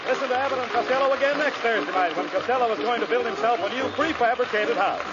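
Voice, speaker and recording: A man's voice: extremely high pitch, fast talker, over loud music, a loud crowd. A man announcing a 1940s radio show, reading scripted sponsor copy. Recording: muffled old radio broadcast.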